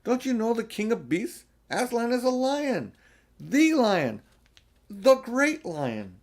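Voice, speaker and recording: A man's voice: very high voice, sing-song, slow pace, voice pushed up, heavily acted. A man voicing a male talking beaver in a storybook, an earnest, solemn woodland creature with a folksy, emphatic manner. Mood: stern, excited. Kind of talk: storybook character voice.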